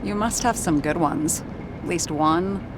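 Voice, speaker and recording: Lady playing a guarded slum girl in a noir game, lowish dry voice, loud city noise behind her. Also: measured pace, lightly acted. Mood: gentle, reflective. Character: tough, guarded slum-born young woman, vengeful liaison. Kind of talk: noir video game voice acting. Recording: loud city and vehicle ambience.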